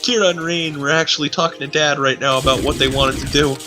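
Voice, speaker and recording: A man's voice: on the high side, fairly even intonation, fast talker. A man streaming a read-aloud of amateur fiction in an amused, mockingly dramatic style with sarcastic asides. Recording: music playing behind the voice. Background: music.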